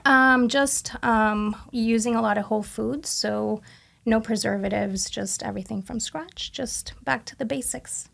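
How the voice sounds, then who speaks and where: Forties woman from argentina, spanish first language, talks with lots of ums, bit of vocal fry. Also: a little high, a bit bright. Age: 40s. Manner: halting, lots of ums and uhs, light laughs. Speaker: guest, patient. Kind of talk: casual interview chat.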